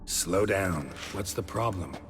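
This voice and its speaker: An actor playing a gruff, unflappable monster hunter for hire in a video game, blunt and laconic. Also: male voice, low-pitched, lively intonation, slow pace, over music. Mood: dry, weary. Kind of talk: video game dialogue acting.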